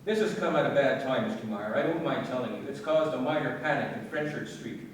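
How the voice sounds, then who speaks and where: Man acting a brisk insurance assessor, very echoey. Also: lightly acted. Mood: harried. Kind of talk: radio play acting. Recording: very echoey, distant, rumbling noise.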